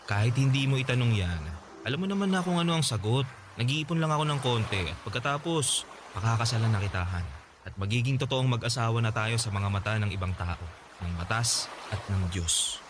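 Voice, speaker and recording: An actor playing a devoted young boyfriend in a radio drama, gentle and reassuring. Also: male voice, mid-range voice, animated, brisk, close to an everyday voice. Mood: tender. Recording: clear background noise.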